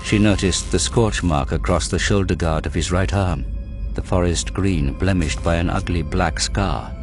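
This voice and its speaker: Male narrator for audio drama, very deep voice, measured and solemn scripted storytelling with music underneath.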